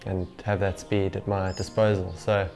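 A man's voice: sits low, level tone, normal speed, creaky, darkish tone. A man in his twenties, a professional athlete, chatting casually in an interview on a lapel mic. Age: 20s. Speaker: professional golfer being interviewed. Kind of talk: casual interview talk to camera. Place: small home gym room, indoors. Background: background music.

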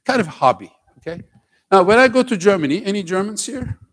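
An older man with a light Turkish accent speaks from a large auditorium stage in a somewhat high voice. He lectures in a loose, joking way and keeps tagging his points with "okay?". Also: lively intonation, a little fast, fairly bright tone. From Turkey. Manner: conversational, keeps asking "okay?", jokes with the crowd. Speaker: professor giving a talk to students. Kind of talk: lively lecture to a school audience.

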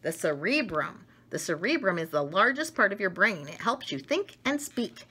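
A middle-aged woman reading a picture book aloud to children, expressive and enthusiastic, with chatty asides to her listeners. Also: medium pitch, normal speed, breathy edge. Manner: enthusiastic, expressive, talks directly to young listeners. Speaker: read-aloud storyteller. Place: small domestic room indoors.

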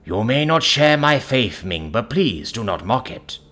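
Man playing a gentle, firm counsellor with lively intonation. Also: medium pitch, breathy edge, heavily acted. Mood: gentle but firm. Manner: measured, earnest, preaching in metaphors. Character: calm, devout male counsellor, gentle but firm.

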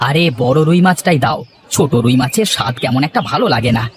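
A man's voice: mid-range voice, expressive, fast talker, a crowd in the background, natural voice. A man voicing an exasperated, hungry village husband in a comic cartoon, complaining with melodramatic flair. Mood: eager bossy. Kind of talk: Bengali comic cartoon dialogue.

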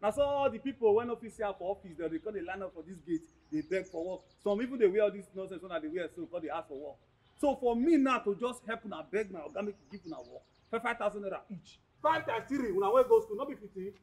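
An actor with a Nigerian accent playing a brash, mocking, scheming gatekeeper in a comic drama, loud and blustering. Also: male voice, high-pitched, animated, fast talker, slightly rough, poor audio. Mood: sly, bargaining. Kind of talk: comic screen drama acting in Nigerian Pidgin.

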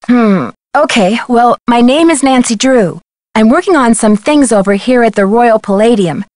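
A woman's voice: a little high, animated, moderate pace, a little mellow, no room sound, studio quality, lightly acted. An actress playing a plucky, determined young female amateur detective in a video game, polite and self-assured. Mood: polite, businesslike.

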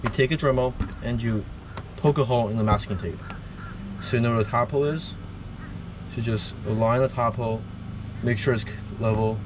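A man giving a casual, step-by-step how-to narration as he works with his hands. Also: lowish voice. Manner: plain instructional, talking while working with his hands. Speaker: host of a DIY boat how-to video. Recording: clearly noisy on-location recording.

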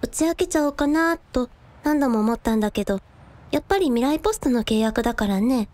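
Woman playing a high-voiced, considerate younger sister. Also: lively intonation, a little fast, a little mellow. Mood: gentle. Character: considerate, composed youngest sister, gently wry. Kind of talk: radio drama acting.

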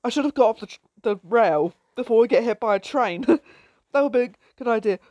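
A woman casually commenting on her own gameplay with a croaky voice, giggling and exclaiming often. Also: a little high, fairly quick, no room sound, highs cut off. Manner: giggly, excitable, frequent laughs and exclamations.

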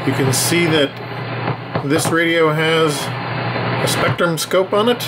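A man's voice: fairly high pitch, slow, heavy background noise. A middle-aged man giving a casual demonstration commentary as a ham radio operator. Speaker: amateur radio operator, video presenter. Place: small indoor room. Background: shortwave radio audio.

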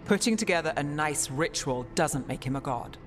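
A woman voicing a wounded, cautious woman mage who speaks in a measured, skeptical way, in game dialogue. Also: low-pitched, expressive. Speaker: party companion. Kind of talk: video game voice acting. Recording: game dialogue with clear music, long reverb.

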